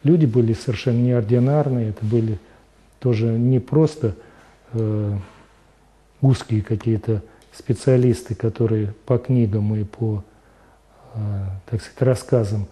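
A man's voice: medium pitch, fairly even intonation, a little slow, mellow. An older man reminiscing in an interview, speaking thoughtfully with some hesitations, on a lapel mic in a studio. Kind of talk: interview recollection. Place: studio set indoors.

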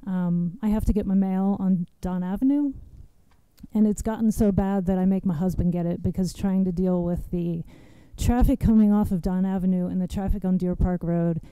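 A soft-spoken young woman giving nervous public testimony at a standing mic in a large hearing room. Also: lowish voice, steady tone, moderate pace, clear, resonant tone, dry recording, poor audio.

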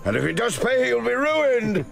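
Animated old sheikh. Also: male voice, very high voice, moderate pace, vocal fry, music in the background, full character voice. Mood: hearty. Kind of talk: radio drama acting.

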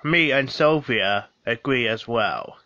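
A man acts a defensive, formal gentleman under questioning in an audio drama. His voice is bright and lively in pitch, earnest in delivery, recorded dry and a little dull. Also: slow, dry recording. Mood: assertive. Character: defensive, well-spoken gentleman murder suspect. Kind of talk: scripted audio drama acting.

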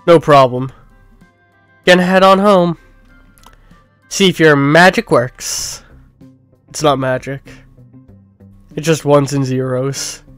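A mild, nerdy young bear who talks low, soft and unhurried, as a man puts him on. The voice is smooth and clear, recorded dry and close. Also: no echo, soft background music. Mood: explaining.

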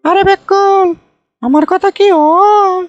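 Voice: extremely high pitch, fairly even intonation, slow, dull audio, faint music behind. A performer voicing a bossy, foolish middle-aged village aunt in a comic cartoon, folksy and repetitive, with a northern Bangladeshi Bogra accent. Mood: scolding. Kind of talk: comic cartoon dialogue, rambling storytelling.

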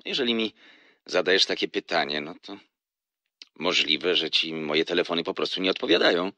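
An actor playing a courteous, reserved, easily wounded gentleman in an audio drama, speaking in a polite, measured way. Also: sits low, animated, no echo, heavily acted. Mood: hurt, restrained. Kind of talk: audiobook radio drama acting.

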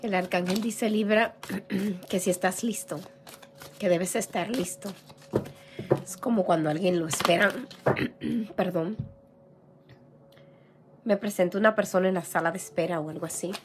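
A hoarse woman reading tarot cards in a casual, gentle way, clearing her throat often. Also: fairly low pitch, a little slow, pauses often, over music. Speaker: tarot reader. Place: indoor room with a tarot table. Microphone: camera over table, hands seen.

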